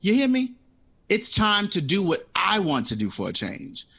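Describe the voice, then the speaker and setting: An actor in an audio drama playing a witty, dreamy jazz composer who talks with playful, dramatic flourishes. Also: male voice, sits high, huge pitch swings, moderate pace, gravelly, fairly bright tone, breathy edge, full character voice. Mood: determined, dreamy. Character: witty, dreamy, theatrical male jazz composer, eager for independence.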